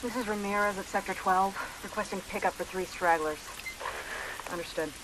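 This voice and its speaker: A woman's voice: mid-range voice, normal speed, noisy background, lightly acted. Woman as a curt, stern soldier. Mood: stern, official. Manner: clipped, official. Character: stern military checkpoint soldier, procedural and curt. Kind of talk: cinematic video game acting.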